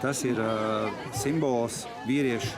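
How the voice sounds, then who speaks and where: A man slowly explaining a festival custom in an interview. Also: mid-range voice, steady tone. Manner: slow, explanatory. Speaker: festival participant. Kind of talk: interview answer. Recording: outdoor festival, music and crowd.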